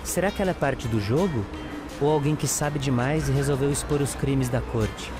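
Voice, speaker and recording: A thoughtful, cautious male sidekick played by a man speaks in a Brazilian accent at a middling pitch. He is inquisitive and deliberate, as in a detective audio drama. Music and city sounds are clearly heard behind him. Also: lightly acted. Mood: curious, suspicious. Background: music, urban street ambience with vehicles.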